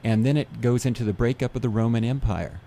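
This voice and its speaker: A man who gives seminars, guesting on a radio interview, explaining things in a steady, methodical way. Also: mid-range voice, quick pace, vocal fry. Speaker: seminar speaker.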